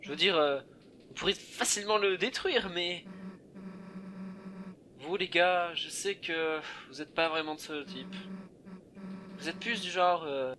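A man's voice: fairly high pitch, noisy background, natural voice. A man voicing a shy, kind, eager young fantasy game companion, hesitant and stammering.

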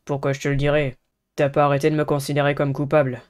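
Man playing an aloof, even-toned teenage schoolboy. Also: fairly high pitch, quick pace, clean tone, close to an everyday voice. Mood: dismissive.

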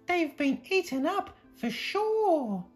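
Woman voicing a high, timid little sheep. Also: very animated, slow pace, faint music behind, full character voice. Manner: polite, timid. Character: shy, timid, considerate female sheep from a picture book.

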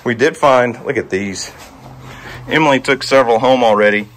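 A man's voice: medium pitch, moderate pace, fairly bright tone, poor audio. A man, giving a casual, rambling walkthrough to viewers in a folksy way. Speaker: homestead vlogger, host. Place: outdoors in a yard, then inside a cluttered empty house. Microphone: handheld camera at arm's length.

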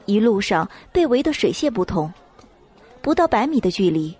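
A woman reading a dramatic novel as an audiobook narrator, in measured storytelling style. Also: medium pitch, big pitch swings, a little mellow, slightly rough, faint music behind.

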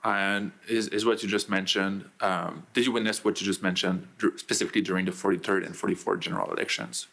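A young man's voice, very low and gravelly, puts questions to a witness at a formal hearing. He is polite and pauses on fillers between his questions. He speaks at a lectern microphone. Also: fairly even intonation, fairly bright tone. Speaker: lawyer cross-examining a witness. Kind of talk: formal cross-examination.

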